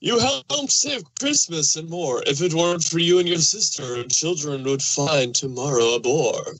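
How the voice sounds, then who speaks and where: Man acting as jolly old Santa Claus, bright and forceful, hearty, kindly, theatrical storybook verse, dry sound. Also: on the high side, animated, a little slow, no echo, rough recording. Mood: grateful, kindly. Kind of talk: rhyming storybook fan fiction reading.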